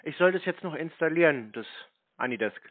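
Animated man on a tinny phone line. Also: on the high side, a little fast, no room sound. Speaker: host posing as a scam victim on a prank-call show. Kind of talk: casual phone conversation.

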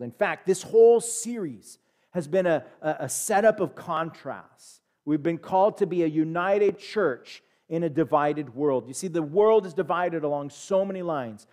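A man in his thirties with an American accent delivers a sermon from a church pulpit, heard through a headset mic. His voice sits slightly high for a man. He swings between loud, emphatic peaks and hushed asides. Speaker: pastor. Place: church sanctuary, standing at a pulpit.

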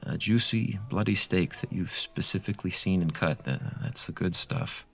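Man acting as eager creepy guy next door, low voice, chatty, awkward, horror podcast drama. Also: lively intonation, moderate pace, gravelly, a bit bright, exaggerated voice. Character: chatty, awkwardly eager male nurse and neighbour, faintly creepy. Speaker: actor in a horror audio drama. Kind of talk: scripted audio drama dialogue.

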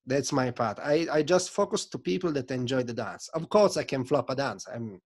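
A man in his thirties with an Italian accent, guest on a video call interview, telling stories in a lively, gesturing way from a home studio. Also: mid-range voice, normal speed. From Italy. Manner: animated, gesturing, non-native phrasing. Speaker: sound system selector, guest. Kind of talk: casual interview storytelling. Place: home studio room with record shelves. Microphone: desk mic on video call.

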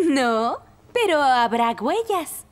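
Very high-voiced young firewoman. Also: big pitch swings, drawn-out words, full character voice. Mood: teasing. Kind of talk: animated children's cartoon dubbing. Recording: loud music underneath.

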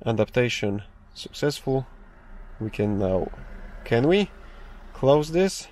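A man's voice: mid-range voice, a little mellow. A man hosting a casual how-to tutorial from inside a parked car, explaining steadily with frequent fillers and restarts. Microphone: phone or camera held in hand, speaker off screen.

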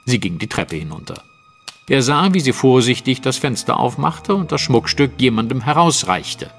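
A confident, analytical male detective in an audio drama. His delivery is articulate and highly animated, moving through the case with precision and dramatic flair. His pitch is medium, with faint music underneath. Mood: absorbed, dramatic. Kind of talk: German audio drama acting, narrative monologue.

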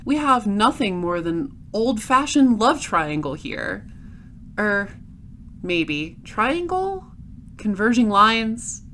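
A woman playing a shrewd, dry space patrol detective in an audio drama, explaining things deliberately. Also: mid-range voice, pushed and bright, a little hiss or hum, full character voice. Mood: musing, explaining.

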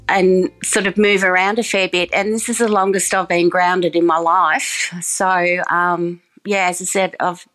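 A woman's voice: lowish voice, a bit bright. A woman speaking casually in an interview, chatty, with many ums and restarts. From Australia. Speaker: farm program coordinator.

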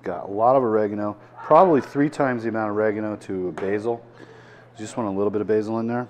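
A man's voice: lowish voice, fast, slight background noise. A man in his sixties, a chef hosting a cooking show, talking casually and explaining each step as he prepares a dish in a restaurant dining room. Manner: relaxed, chatty, explains as he works.

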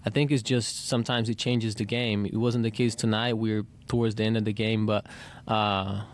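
A man in his thirties answering reporters after a game at a desk mic, halting with many fillers and restarts. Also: lowish voice, level tone. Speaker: basketball player at press conference. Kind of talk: post-game press answers. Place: arena press room.

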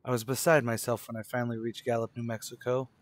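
Male actor, haunted young man, mid-range pitch, brooding, dramatic, unravelling, radio suspense play. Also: level tone, quick pace, natural voice. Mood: weary, subdued. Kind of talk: old-time radio suspense drama acting, first-person narration.

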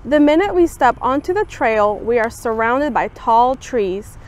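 A young woman volunteer reading a children's picture book aloud outdoors, giving the quoted lines a lively, expressive lift. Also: on the high side, unhurried. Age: young adult. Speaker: student volunteer reader. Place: outdoors on a campus bench.

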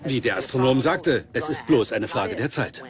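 A man's voice: mid-range voice, expressive, moderate pace, over music, close to an everyday voice. A man speaking as a team member in a dubbed documentary conversation.

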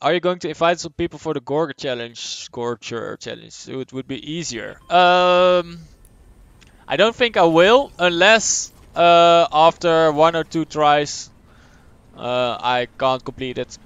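A man's voice: on the high side, a little slow, smooth, no echo, muffled recording. Dutch-accented young male game streamer. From Netherlands. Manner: casual, chatty, answers chat aloud, thinks out loud. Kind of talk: live gameplay commentary, reading chat.

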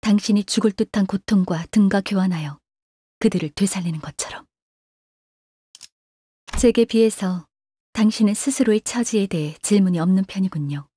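An actress playing a composed, analytical young female agent in a video game, speaking formally and calmly in a soft, breathy voice. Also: mid-range voice, normal speed, long pauses, no echo, natural voice. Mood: composed, detached. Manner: calm, detached, formal, refers to herself by name. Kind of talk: scripted game character voice lines.

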